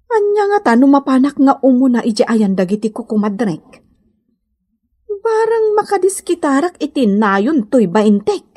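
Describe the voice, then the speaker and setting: A poor, scheming Filipino mother with an Ilocano accent in a radio comedy drama. Her voice sits high and swings widely. She draws out her words slowly and fretfully, full of exclamations. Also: sing-song, warm tone, husky, heavily acted.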